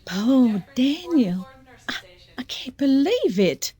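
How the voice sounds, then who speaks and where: An actress playing a jealous, haughty, sharp-tongued woman in an audio soap opera, sarcastic and dramatic. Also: high-pitched, big pitch swings, slow pace, heavily acted. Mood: concerned. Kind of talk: soap-opera radio acting.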